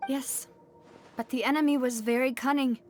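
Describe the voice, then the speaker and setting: A woman's voice: high-pitched, steady tone, normal speed, darkish tone, faint music behind, natural voice. A woman playing a confident, determined young female leader in a video game, speaking in an earnest, articulate way. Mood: earnest, explaining. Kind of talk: video game character acting.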